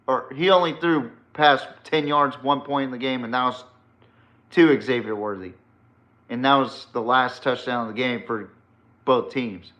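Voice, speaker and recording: A man's voice: mid-range voice, bright, forceful tone, muffled recording. A man hosting a sports podcast, giving casual, opinionated commentary in a blunt, emphatic way and swearing freely.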